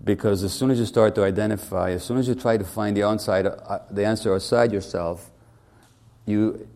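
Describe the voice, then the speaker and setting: A man's voice: fairly low pitch, dark tone. A man in his sixties from Hungary, a physician giving a public speech in a wry, conversational way and putting questions to the audience.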